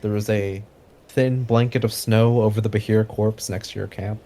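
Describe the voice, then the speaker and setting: A man's voice: lowish voice, steady tone, normal speed, dark tone. A man narrating a tabletop game as game master, with casual asides. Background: music.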